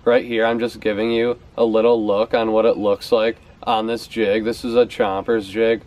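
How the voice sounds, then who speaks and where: A man's voice: lowish voice, level tone, normal speed, darkish tone. A young man talking casually to camera on a porch outdoors, showing his favorite fishing baits and often repeating words for emphasis. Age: young adult. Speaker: fishing vlogger. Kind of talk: casual product talk to camera. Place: outdoors on a house's back porch steps.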